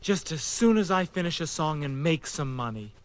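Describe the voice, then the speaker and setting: A man's voice: on the high side, animated, normal speed, natural voice. A man playing a kindly, put-upon songwriter and father figure with emphatic delivery on a children's record. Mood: exasperated. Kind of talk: children's read-along record acting.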